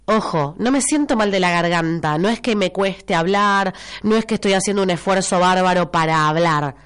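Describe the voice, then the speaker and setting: A woman's voice: lowish voice, moderate pace, clean tone. Congested Argentine woman, chatty radio host. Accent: Argentine Spanish. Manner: chatty and expressive, repeats phrases for emphasis. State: congested, stuffy nose with a cough. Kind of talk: casual morning radio chat reading listener messages. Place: radio studio.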